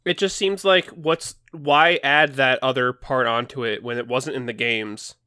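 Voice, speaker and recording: Very bright, sharp-voiced wisecracking man. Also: mid-range voice, fairly even intonation, unhurried, clear, resonant tone, dry recording, clean studio sound. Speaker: podcast co-host. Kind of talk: casual banter.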